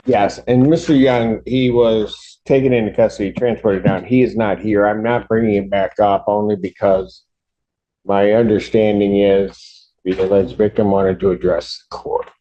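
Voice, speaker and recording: A man speaking as a judge, handing down rulings from the bench in a deliberate, halting official way. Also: fairly low pitch, fairly even intonation, warm tone. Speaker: judge presiding over a court hearing. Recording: dull lo-fi courtroom audio.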